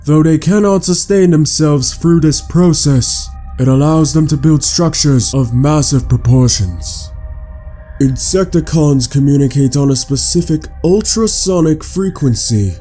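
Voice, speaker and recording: A man's voice: fairly high pitch, a little slow, dark tone, faint music behind. Man voicing a coldly logical robot scientist villain. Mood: clinical. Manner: clinical, precise, formal, condescending. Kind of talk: scripted audio log monologue, fan-made sci-fi drama.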